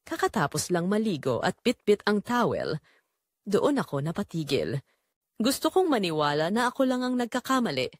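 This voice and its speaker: Female Filipino narrator for first-person audio drama; lively, varied intonation, very dry close sound. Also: mid-range voice, no room sound.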